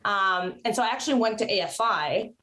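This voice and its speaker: A woman in her thirties who grew up in Mexico, chatting over a video call from a curtained room at home. She speaks at an average pitch, tripping over fillers and restarting sentences as she goes. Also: brisk, sharp tone. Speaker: interview guest, actress and writer. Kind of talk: casual video-call interview.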